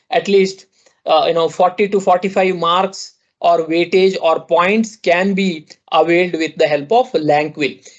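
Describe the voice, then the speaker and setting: A man with an Indian English accent walks listeners through a product demo in a rather high voice. He talks haltingly, with frequent restarts and filler phrases. The audio sounds muffled and lo-fi. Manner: halting, full of "you know" and restarts. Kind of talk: online sales demo presentation. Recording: dull lo-fi audio.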